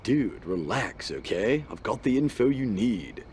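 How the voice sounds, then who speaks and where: An actor playing a dim, slangy young punk and petty mugger in a cartoon game, with a slacker drawl. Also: male voice, fairly low pitch, animated, unhurried, darkish tone, a little hiss or hum. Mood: laid-back.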